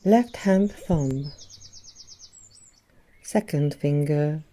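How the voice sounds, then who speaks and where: A woman leading a guided yoga nidra meditation as an instructor, speaking in a soothing, gentle manner with long pauses between phrases. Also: low-pitched. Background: birdsong and nature sounds.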